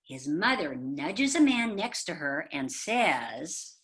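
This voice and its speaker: A woman's voice: sits low, big pitch swings, slow, a bit bright. A woman telling a joke and reciting a poem expressively for a community readers theater show over a video call.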